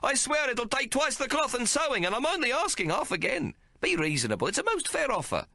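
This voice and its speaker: A man's voice: big pitch swings, a little fast, bright, forceful tone, no room sound, clean studio sound, heavily acted. A man voicing a sly, haggling little male tailor with a whiny, pleading manner in an audiobook. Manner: whiny, pleading, high-pitched. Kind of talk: audiobook character voice.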